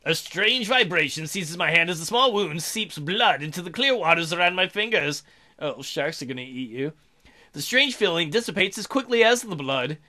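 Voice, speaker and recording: A man's voice: a little high, expressive, normal speed, bright, forceful tone. A man hosting a let's play, reading a visual novel aloud and breaking in with irreverent, playful, mocking asides.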